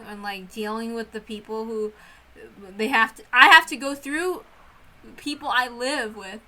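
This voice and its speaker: Girl streamer just chatting, high sharp bright voice, rambly n apologetic, keeps cutting herself off. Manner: rambling, apologetic, self-interrupting. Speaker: streamer who reads visual novels aloud. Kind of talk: casual livestream chat.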